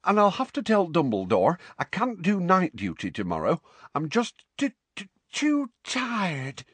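A man's voice: sits high, wildly swooping pitch, a bit bright, breathy edge, dry recording, voice pushed up, heavily acted. A man voicing a sleepy, earnest young witch who drags her words out wearily in an audiobook. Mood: tired. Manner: yawning, drawn-out and weary. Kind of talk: audiobook character voice.

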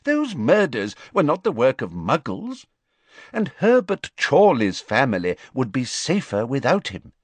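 A man voicing a flustered, fretful male wizard minister who talks in a brisk, bluffing, airy way, in an audiobook. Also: mid-range voice, wildly swooping pitch, slightly rough, no room sound, voice pushed up, exaggerated voice. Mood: grave. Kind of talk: audiobook character voice.

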